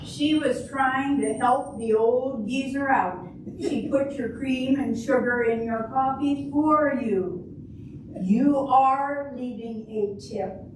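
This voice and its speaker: A woman acts out an exasperated old wife in a stage comedy, scolding slowly in a slightly high voice. She is firm and reproachful. It sounds very echoey and far from the mic. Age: older. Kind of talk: amateur readers' theater comedy. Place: live stage reading in a roomy hall. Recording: echoey room, distant mic, clear background noise.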